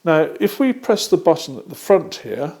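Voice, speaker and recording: A British man presenting a product demonstration step by step, explaining methodically and often pausing mid-sentence. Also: on the high side, big pitch swings, vocal fry, darkish tone.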